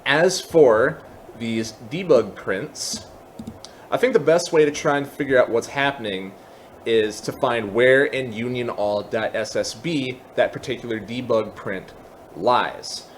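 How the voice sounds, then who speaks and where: A man with a medium-pitched voice hosts a casual software tutorial. He explains step by step in an informal, lightly self-deprecating manner. A faint layer of background noise sits behind his voice. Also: a little slow. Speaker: tutorial host.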